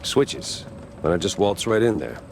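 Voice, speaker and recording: A man's voice: low-pitched, very animated, a little slow, gravelly, slight background noise, exaggerated voice. A man playing a cynical, wisecracking private eye and war veteran in a cartoon noir game, wry and hardboiled. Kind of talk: noir video game acting and narration.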